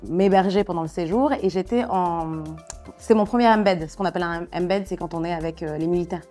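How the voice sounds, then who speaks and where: A woman from Niger speaking as a journalist in a conversational interview, telling personal stories with halting self-corrections. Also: on the low side, dark tone, soft background music.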